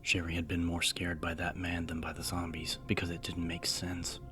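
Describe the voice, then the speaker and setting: A man narrating a scripted horror audiobook in a measured, serious storytelling manner. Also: low voice, completely flat delivery. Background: music.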